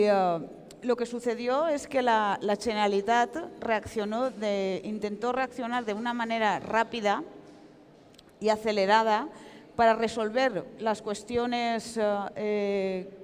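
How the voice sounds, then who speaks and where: In a big reverberant hall, a woman with a Valencian accent gives an interview in Spanish. She tells her account frankly and with emphasis, at a middling pitch, as an author and journalist would. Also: slight background noise. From Valencia, Spain. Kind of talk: public interview, spoken account. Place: large reverberant hall at comic convention. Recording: echoey hall with long reverb.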